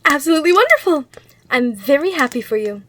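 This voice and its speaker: A woman's voice: sits high, very animated, quick pace, fairly bright tone, a little hiss or hum. A woman playing a gracious, eager demon hotel receptionist in an ASMR roleplay, with polite, reassuring customer-service patter. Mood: cheerful, helpful.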